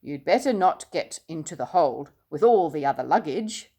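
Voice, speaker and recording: A woman's voice: deep voice, lively intonation, moderate pace, warm tone, breathy edge, full character voice. A woman voicing a helpful man in charge of airport luggage in a storybook, giving firm, kindly instructions.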